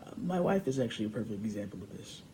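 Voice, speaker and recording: A man's voice: fairly low pitch, big pitch swings, fast, mellow. A man playing a proud French philosopher in an amateur sketch, telling his life in an earnest, matter-of-fact way. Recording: noisy home recording.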